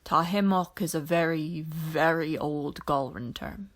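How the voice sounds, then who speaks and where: A woman voicing a weary, dryly teasing alien man in an audiobook, with a measured, quiet delivery. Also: sits low. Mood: quiet, solemn. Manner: dry, measured, quiet explanations.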